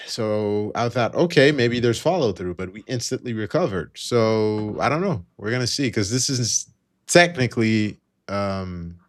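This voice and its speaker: A man co-hosting a live trading stream with casual market commentary, thinking aloud in a hesitant way. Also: on the low side.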